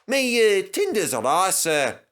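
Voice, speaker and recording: A man's voice: sits high, sing-song, a little slow, a bit bright, voice pushed up, exaggerated voice. A man voicing an anxious, guileless Scottish twin steam engine who talks in a hesitant, innocent way. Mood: feigned innocence.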